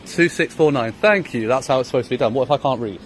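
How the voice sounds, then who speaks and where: British man, quick, assertive street challenger filming outdoors. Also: medium pitch, slight background noise. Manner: assertive, persistent questioning about rights. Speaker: member of the public filming officers. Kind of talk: confrontational street exchange. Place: outdoors on a public footpath.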